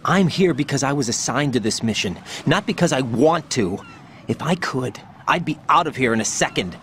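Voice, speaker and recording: An earnest young agent, voiced by a man in a video game cutscene. His mid-range voice is lively and varied, with a reluctant, questioning manner. Faint noise runs underneath. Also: mid-range voice, normal speed, natural voice. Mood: earnest, doubting. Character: earnest, doubting young male special-forces agent.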